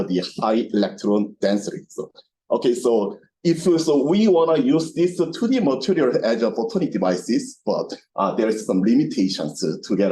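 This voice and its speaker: A man giving a technical seminar lecture in halting, repetitive non-native phrasing. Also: on the low side, warm tone, rough recording. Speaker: lecturer, researcher. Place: seminar room. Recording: lecture room with clear background noise.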